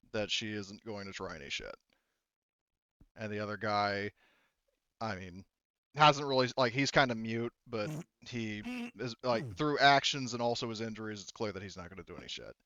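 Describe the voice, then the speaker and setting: A man with a clear, resonant voice narrates a tabletop roleplaying game as game master. He talks casually and rambles as he improvises. The recording is dry and a little muffled. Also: medium pitch, a bit bright, dry recording. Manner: rambling, conversational.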